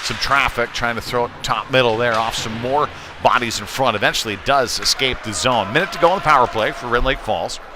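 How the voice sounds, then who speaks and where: Male hockey announcer, mid-pitched, unscripted and halting play-by-play, noisy live rink recording. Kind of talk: live sports commentary.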